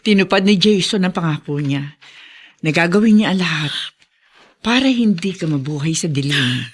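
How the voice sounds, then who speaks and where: An actress playing a frank, supportive friend who speaks directly and emphatically in a TV melodrama. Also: female voice, fairly low pitch, animated, normal speed, husky. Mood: consoling. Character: frank, supportive woman friend consoling a mother. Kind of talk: TV melodrama acting.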